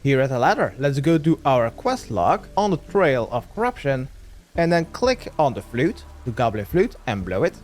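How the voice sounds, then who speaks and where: Man narrating a game walkthrough, lively intonation. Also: mid-range voice, normal speed. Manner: step-by-step, instructional. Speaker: gaming guide creator.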